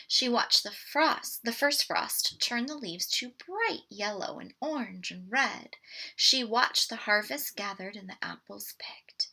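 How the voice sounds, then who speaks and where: A woman reads a picture book aloud for children. Her voice is bright but husky, with a creaky edge. She is expressive and deliberate, as a read-aloud presenter addressing young listeners. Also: mid-range voice, poor audio.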